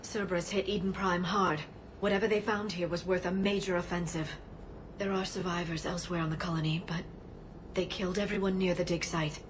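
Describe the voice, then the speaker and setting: A woman's voice: lowish voice, fairly even intonation, background noise, lightly acted. A woman voicing Liara, an earnest alien scholar. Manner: measured, earnest, reflective.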